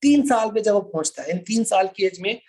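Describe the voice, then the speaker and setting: A man's voice: sits high, animated, fast talker, darkish tone. A man with a Pakistani accent lecturing informally to a class, explaining step by step and repeating his points. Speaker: law lecturer.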